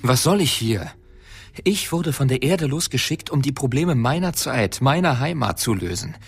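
Bitter, impassioned male time traveler for radio drama, gravelly, animated, coarse-mouthed. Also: mid-range voice, normal speed, soft background music. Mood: indignant, impassioned. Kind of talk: science fiction radio drama acting.

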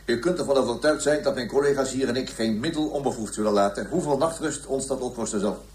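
An actor playing a pompous, evasive police inspector who talks in stiff officialese in a radio play. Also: male voice, medium pitch, fast talker, a little mellow, close to an everyday voice. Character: pompous, self-important male police inspector giving an interview. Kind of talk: radio drama acting. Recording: roomy, echoing radio play recording.

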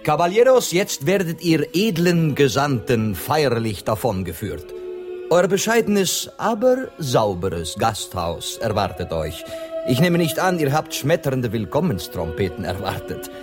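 A courtly, talkative Spanish poet in a radio drama. His phrases are eloquent and declamatory, with lively swings in pitch. Music plays behind him. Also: unhurried. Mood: ceremonious. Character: talkative, courtly Spanish poet playing guide to foreign envoys. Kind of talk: German radio drama acting.

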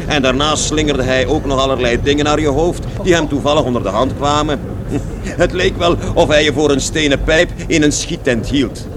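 A man's voice: moderate pace, close to an everyday voice. An actor in a radio play playing a blunt, talkative working-class son who rambles hesitantly about his brawling father. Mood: matter-of-fact, animated. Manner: rambling, hesitant storytelling with repetitions. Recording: noisy, vehicle rumble behind.

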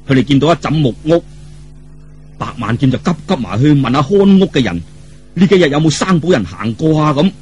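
A man's voice: medium pitch, slightly rough. A man with a Cantonese accent narrating a martial arts tale in a colloquial, dramatic traditional storytelling style, with asides to the listener. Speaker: audiobook storyteller.

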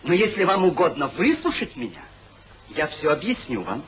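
An actor playing a hypocritical, evasive gentleman schemer with over-polite, theatrical speech in a 1950s radio comedy. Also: a little high, sing-song, normal speed. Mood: anxious, placating. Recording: hissy 1950s radio play recording.

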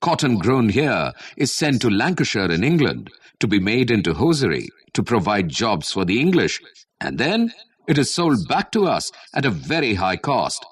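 A man's voice: on the low side, very animated, normal speed, slightly rough, no room sound, pitched above the performer's own voice. A man voicing a stern, unbending old grandfather, firm and solemn, in a storybook. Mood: indignant. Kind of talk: storybook character voice.

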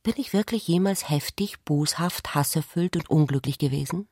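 A woman reading literary narration in a measured, contemplative manner for a radio play. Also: low-pitched, warm tone, husky, no room sound, studio quality.

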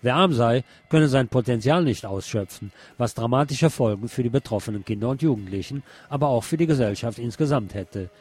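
A man's voice: medium pitch, animated, brisk, hardly any pauses, darkish tone, slightly rough. A man presenting the news as scripted narration.

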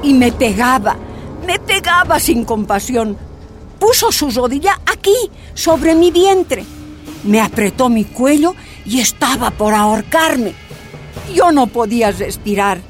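An actress narrating as a miner's wife recounting her torture in a radio drama, grave and measured. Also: a little high, animated. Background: soft music.

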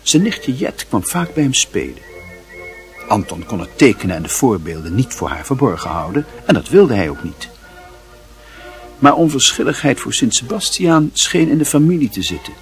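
A man narrating a literary radio play in a grave, measured manner, with a very husky, rough voice. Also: medium pitch, big pitch swings, soft background music.

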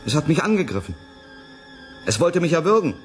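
An actor playing an agitated, insistent scientist at a space colony in a 1980s German radio play, speaking with dramatic emphasis. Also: male voice, on the high side, big pitch swings, fairly quick, soft background music. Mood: urgent, insistent.

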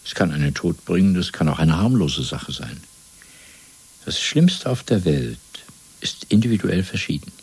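A totalitarian interrogator in a seventies radio play. The voice is deep and husky, and every phrase is deliberate and clinical, full of controlled menace. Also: male voice, big pitch swings, darkish tone, heavily acted. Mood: coldly menacing. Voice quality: husky, rough low voice. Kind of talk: 1970s German radio play acting.

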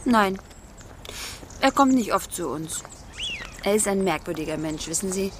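An actress plays an outspoken young daughter in a radio drama. Her mid-pitched voice leaps about with animation, proud and teasing, with odd English phrases mixed in, over faint background noise. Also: animated, unhurried, lightly acted. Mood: candid, cautioning.

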